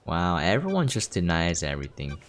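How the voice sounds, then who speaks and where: A man's voice: deep voice, wildly swooping pitch, a little fast, slight background noise. A man giving casual gameplay commentary, thinking aloud as he goes. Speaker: game streamer. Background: game music.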